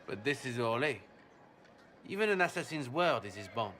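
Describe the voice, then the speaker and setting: A man playing a suave, courteous nobleman with a secret sinister side in a video game, speaking in a ceremonious, coolly measured way. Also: mid-range voice, sing-song, bright, forceful tone. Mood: suave menace. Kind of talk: dramatic video game cutscene acting.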